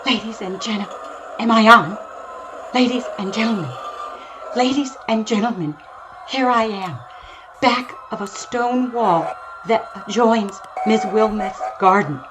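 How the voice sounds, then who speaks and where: An actress playing an excitable, overwhelmed field reporter in a 1930s-style radio drama, speaking in dramatic broken phrases. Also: female voice, mid-range voice, a little slow, natural voice. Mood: tense, hushed. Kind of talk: 1930s radio drama acting, breathless live commentary. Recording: noisy audio with constant ambience.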